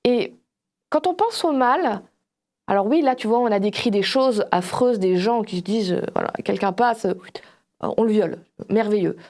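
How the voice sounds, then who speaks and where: A woman's voice: mid-range voice, normal speed. A woman speaking as a panelist in a casual Bible discussion, explaining things like a storyteller who acts out examples. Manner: animated storytelling, acts out imagined quotes. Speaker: panelist on a religious discussion show.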